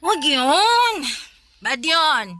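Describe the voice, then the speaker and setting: A woman's voice: high-pitched, very animated, extremely slow, sharp tone, slight background noise, full character voice. A woman playing a drunk, teasing, easygoing best friend in a Filipino radio drama, with a Filipino accent. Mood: tipsy, teasing.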